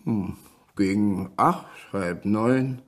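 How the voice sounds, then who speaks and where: Older male villager, radio crime play. Husky voice, nosy, chummy and insinuating gossip. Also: fairly low pitch. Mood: gossipy, indignant. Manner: conspiratorial, insinuating, drawn-out hints. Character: nosy, gossipy old village man, sly busybody. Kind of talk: German radio crime drama acting.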